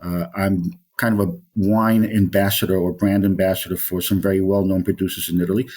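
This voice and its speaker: A man's voice: sits low, flat delivery, fairly quick, darkish tone. A middle-aged man from Colombia, a wine specialist being interviewed, talking in a rambling way with many fillers and restarts. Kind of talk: interview conversation.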